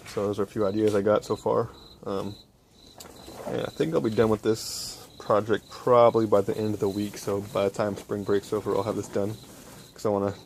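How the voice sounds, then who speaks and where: A young man giving a casual project walkthrough in a low-key, hesitant way, thinking aloud as he goes. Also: little pitch movement, fairly quick, vocal fry, darkish tone, slight background noise. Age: young adult. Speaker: hobby electronics vlogger. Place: small cluttered home workbench indoors. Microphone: handheld phone camera.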